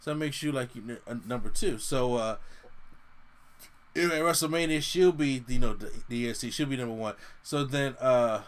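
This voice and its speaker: A man talking through a weekly wrestling show in a loose, off-the-cuff review. His voice is of middle pitch, and he keeps restarting his sentences as he recaps the action. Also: moderate pace. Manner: animated, loose, with many restarts and quoted paraphrases. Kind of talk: casual solo review.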